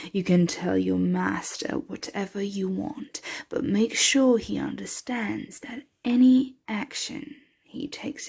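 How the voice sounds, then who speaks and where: A woman voicing a possessive, protective, motherly vampire in an intimate ASMR roleplay, breathy and often dropping into a whisper. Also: fairly low pitch, darkish tone, heavily acted. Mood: cold, commanding. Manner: slow, intimate, soothing address to a dear one.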